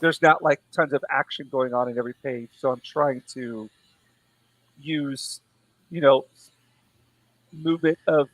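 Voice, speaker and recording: A man hosting a live art stream, losing his voice and talking in a relaxed, rambling way with many fillers. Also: mid-range voice, a little slow, pauses often, dry recording, low-quality recording. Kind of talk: casual late-night chat.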